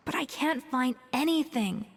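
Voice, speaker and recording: A woman playing a proud, confident master chef who speaks in a measured, self-assured way. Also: high voice, full character voice. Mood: wistful. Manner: self-assured, measured, turns wistful when alone. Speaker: head chef. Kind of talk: video game dialogue. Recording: reverberant game audio with faint music.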